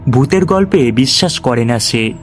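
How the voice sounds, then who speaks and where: A man narrating a scripted ghost story for an animated horror tale. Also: medium pitch, moderate pace, faint music behind.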